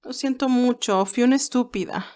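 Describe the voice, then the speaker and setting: A woman's voice: a little high, normal speed, darkish tone, voice pushed up, lightly acted. An earnest young nurse, played by a woman narrator in an audio romance drama. She sounds naive and remorseful, close to tears as she explains herself in a confessional way. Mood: tearful, apologetic.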